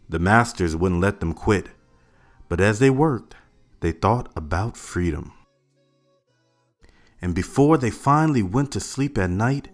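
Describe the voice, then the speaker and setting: A man reading as a loving storytelling dad. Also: fairly low pitch. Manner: slow, expressive read-aloud storytelling. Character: loving father telling his little daughter family history. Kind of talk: family read-aloud of a picture book. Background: faint added music.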